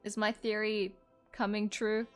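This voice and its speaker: A woman's voice: medium pitch, flat delivery, unhurried. A young woman giving casual let's-play commentary, rambling informally and musing aloud as she plays. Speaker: gaming video creator. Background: game music.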